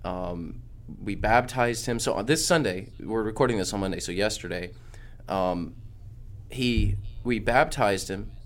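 A man's voice: on the low side, unhurried. A man and pastor with a head cold, talking casually on a podcast in a studio, explaining with lots of fillers and restarts.

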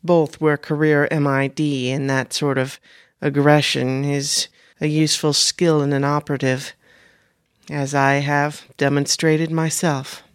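A man reading an audiobook and voicing Fiona, a composed woman operative who talks in a calm, deliberate, formal way. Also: on the high side, clear, resonant tone, no room sound, clean studio sound, pitched above the performer's own voice, close to an everyday voice.

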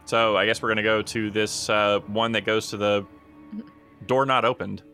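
A man's voice: on the low side, steady tone, normal speed, sharp tone, faint music behind. A man chatting casually as a player in a tabletop game, with a playful, jokey manner.

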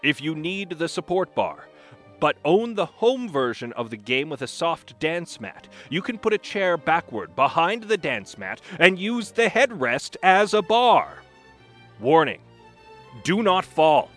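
A man with a fairly high voice delivers a dramatic reading of instructions over clear background music. He is deliberate and theatrical, treating practical tips with mock seriousness. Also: very animated, fairly bright tone. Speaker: reader of a comic dramatic reading. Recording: music playing behind the voice.

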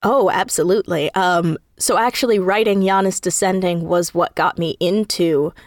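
A woman speaking casually as an interview guest, telling things in an animated, emphatic, self-deprecating way. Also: fairly low pitch, moderate pace, dry recording, clean studio sound. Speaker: interview guest, audio drama writer.

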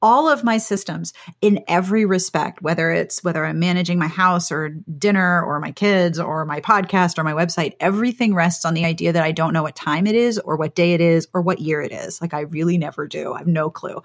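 A woman's voice: lowish voice, fairly quick, hardly any pauses. A woman hosting a casual interview podcast, chatting in a self-deprecating, jokey way and acting out little exchanges with herself, recorded close on a microphone. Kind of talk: casual conversational interview chat. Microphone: close microphone inside a foam-lined box.

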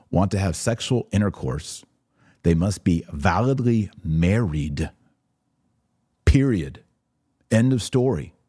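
Deep-voiced man, emphatic live commentary. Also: mellow, slightly rough, no echo, clean studio sound. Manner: emphatic, repeats short phrases for stress. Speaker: podcast host and religious commentator. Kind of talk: live commentary answering viewer questions.

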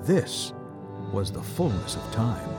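A man's voice: lowish voice, sing-song, takes it slowly, loud music behind the voice. A man narrating a scripted Bible story in a dramatic storytelling style.

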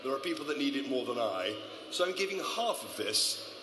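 A man hosting a live festival stage interview, talking loudly and chattily and apologizing for the microphone trouble. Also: mid-range voice, very animated, fairly quick. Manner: loud, chatty, apologetic about mic trouble. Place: stage at a travel writers festival. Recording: roomy hall with background noise.

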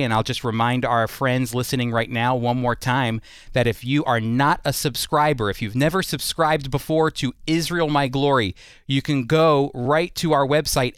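A man's voice: mid-range voice, smooth, dry recording. An American man hosting a radio program, presenting news and interviewing a guest at a studio microphone. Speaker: radio host. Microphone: close studio microphone.

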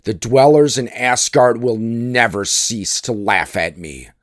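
A man voicing a mighty, proud thunder god from a myth, rough and blustering in manner. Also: medium pitch, animated, slow, dry recording, clean studio sound. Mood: indignant. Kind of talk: dramatic myth storytelling.

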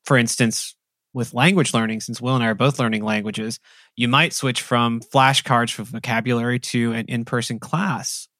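Male podcast co-host, mid-pitched, loose chatty delivery, dry close mic. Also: moderate pace, no echo. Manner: relaxed, rambling with frequent "like" fillers. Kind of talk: casual conversation.

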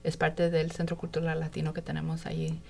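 A woman's voice: sits low, brisk, gravelly. A middle-aged woman and university staffer speaking easily as a radio guest, slipping into English now and then. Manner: relaxed, slips into English.

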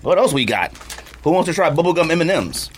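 A man with a fairly high, very animated voice chats casually as a podcast co-host. He is blunt and slangy, leaning hard on his words. There is faint noise behind him. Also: fairly quick. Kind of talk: casual banter while taste-testing snacks.

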